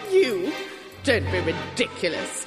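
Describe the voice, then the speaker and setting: A man's voice: sits high, wildly swooping pitch, slow pace, music in the background, full character voice. A man voicing a haughty, scornful, dismissive character in theatrical dialogue.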